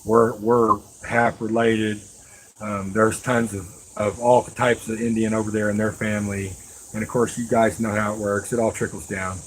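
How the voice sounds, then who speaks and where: A man's voice: fairly low pitch, flat delivery, normal speed, a little hiss or hum, rough recording. A middle-aged man chatting casually and folksily to live stream viewers as he works with his hands, rambling along as he talks to them directly. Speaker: live streamer and knife maker. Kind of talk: casual live stream chat while working.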